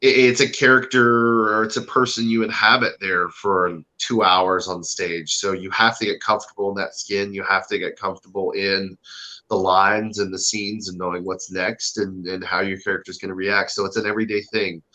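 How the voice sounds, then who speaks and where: A man speaking casually in an interview as a stage actor, earnest and halting, often repeating his phrases. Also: low voice, little pitch movement, pushed and bright, rough recording.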